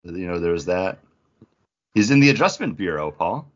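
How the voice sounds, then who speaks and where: A man's voice: deep voice, very animated, moderate pace, highs cut off. A man co-hosting a podcast, chatting casually about geek culture in a joking, teasing way. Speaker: podcast co-host.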